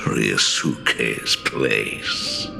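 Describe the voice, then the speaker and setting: An actor playing a sinister, mocking impostor villain in a video game, speaking in a theatrical, taunting way with a gravelly voice. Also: male voice, very deep voice, extremely slow, music in the background, full character voice. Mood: gloating, menacing.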